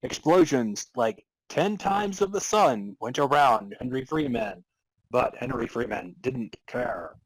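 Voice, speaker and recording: Man reading aloud with a slightly husky voice. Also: medium pitch, lively intonation, a little slow, no echo, low-quality recording. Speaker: podcast co-host. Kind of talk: dramatic reading of fan fiction and casual banter.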